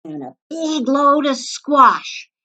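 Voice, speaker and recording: A woman voicing a scruffy, bad-tempered male varmint from a storybook, gruff, snarling and curt. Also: high voice, sing-song, slow, sharp tone, pitched above the performer's own voice, full character voice. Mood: barking orders. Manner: snarling, curt, barking orders.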